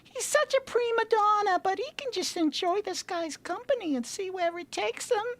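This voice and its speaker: A woman's voice: expressive, moderate pace. A woman voicing a giddy, chatty cartoon villainess in a comedic fanfiction reading, breezy about her dangerous friends. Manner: breezy, shrill laugh. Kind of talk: comedic fanfiction read-aloud.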